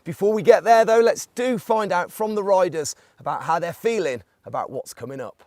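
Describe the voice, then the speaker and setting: A man's voice: high-pitched, normal speed, darkish tone, soft background music. A man presenting a live ski event from a studio, chatty and upbeat, leading the questions. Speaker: studio host.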